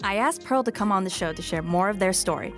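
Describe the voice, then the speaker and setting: A woman hosting a podcast, narrating in a reflective, measured way and interviewing a guest. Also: mid-range voice, animated, over music. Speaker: podcast host.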